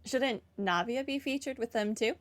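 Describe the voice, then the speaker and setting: Casual, higher-voiced woman commenting on a game. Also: moderate pace. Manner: wry, reactive. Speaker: gaming streamer. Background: game music.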